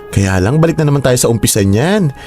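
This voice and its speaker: A man's voice: mid-range voice, expressive, fairly quick, warm tone, soft background music, close to an everyday voice. A man playing a flamboyant gossipy best friend. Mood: dramatic. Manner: theatrical, swooping exclamations. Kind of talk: radio drama acting.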